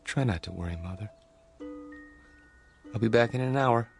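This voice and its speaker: An actor playing a meek, awkward grown son in a radio drama, stammering politely, a lonely and secretive dreamer. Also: male voice, medium pitch, moderate pace, gravelly, natural voice. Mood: evasive, meek. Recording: music bed under the dialogue.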